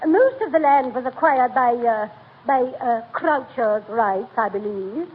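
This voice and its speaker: An actress playing a fluttery, haughty society matron in 1940s radio comedy, with affected refined speech. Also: female voice, on the high side, animated, unhurried. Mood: genteel, fluttery. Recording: tinny, noisy 1940 radio broadcast.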